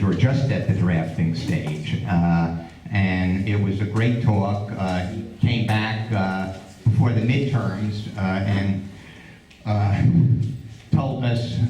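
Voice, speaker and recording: A man introducing speakers at a club meeting, halting with many fillers and restarts. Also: fairly low pitch, warm tone. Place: community meeting room. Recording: echoey room, far from the mic.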